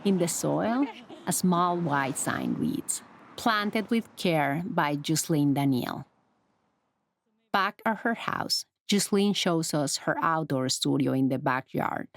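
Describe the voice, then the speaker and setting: A woman narrating a documentary report in a calm, descriptive, scripted manner. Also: deep voice, slow pace, gravelly. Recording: studio voice-over with music bed.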